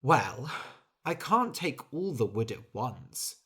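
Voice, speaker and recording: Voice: medium pitch, sing-song, slow, pushed and bright, breathy edge, exaggerated voice. A reader doing a weary hardworking bird. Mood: explaining. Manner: earnest, complaining. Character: hardworking female bird, tired and fed up.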